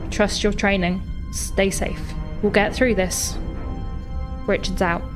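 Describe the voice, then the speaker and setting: A woman's voice: mid-range voice, level tone, slow pace, over music, lightly acted. A woman playing a grave, commanding starship captain in a sci-fi audio drama. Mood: grave, steadying. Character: resolute female starship captain, grave and commanding under crisis.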